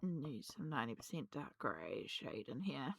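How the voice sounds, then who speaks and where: A woman, slightly hoarse from a cold, chatting casually and rambling with many ums while she colours. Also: a bass voice, sing-song, normal speed, dry recording. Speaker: colouring vlogger.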